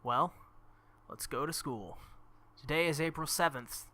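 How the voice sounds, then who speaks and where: A man's voice: a little high, unhurried, sharp tone. A man reading visual novel narration aloud and reacting casually as a gaming video host, with music behind him. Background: game music.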